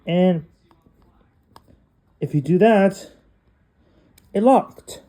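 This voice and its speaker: A man talking casually through an unboxing demonstration in halting short phrases with non-standard grammar. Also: high voice, warm tone. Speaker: unboxing video creator.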